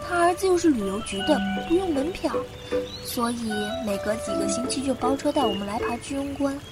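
A woman acting a lively young university student in a Chinese radio drama, with a youthful, chatty way of talking. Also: high-pitched, a little fast, lightly acted. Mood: reminiscing. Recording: music behind the voice.

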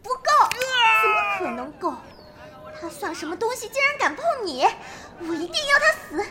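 Fierce, naive girl disciple for a martial-arts radio play, female actor: tiny, very high voice, blunt and proud. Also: big pitch swings, normal speed, heavy background noise. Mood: furious, haughty. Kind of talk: Chinese wuxia audio drama acting.

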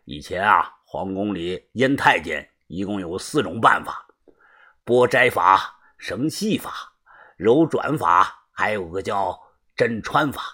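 Man voicing menacing gang boss, low, husky voice. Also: expressive, slow, bright, forceful tone, exaggerated voice. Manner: gruff, low, swaggering.

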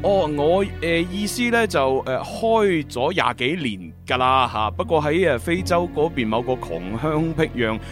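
Earnest young agent, hong kong cantonese, lively pitch, music behind him. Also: male voice, measured pace, hardly any pauses. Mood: flustered. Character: earnest, bumbling young time-travelling male agent. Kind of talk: radio drama acting. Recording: music bed under the dialogue.

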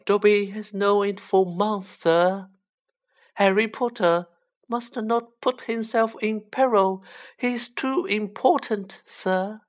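A woman voicing a small male house-elf for a fantasy audiobook, a squeaky, humble, anxiously devoted servant who pleads with drawn-out emphasis. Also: steady tone, lightly acted. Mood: fearful warning. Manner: pleading, drawn-out, emphatic delivery in third person. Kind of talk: children's fantasy audiobook character voice.

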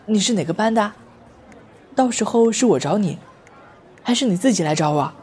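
A woman's voice: mid-range voice, animated, moderate pace, darkish tone, a faint crowd, slight background noise, close to an everyday voice. A woman playing a blunt, eccentric, self-assured teenage schoolboy artist in an amateur audio drama, speaking in a bold, matter-of-fact way. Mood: self-assured, insistent.

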